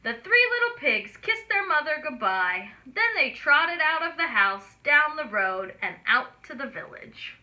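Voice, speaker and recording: A woman storyteller reading aloud to small children, expressive and explaining things simply. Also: high-pitched, unhurried, few pauses, poor audio. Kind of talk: children's read-aloud narration with asides.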